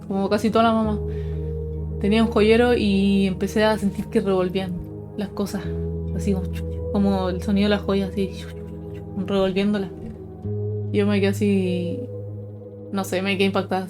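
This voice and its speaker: A young adult woman telling personal stories to her viewers in a casual, conversational, explanatory way. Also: medium pitch. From Chile. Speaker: paranormal storytelling video host.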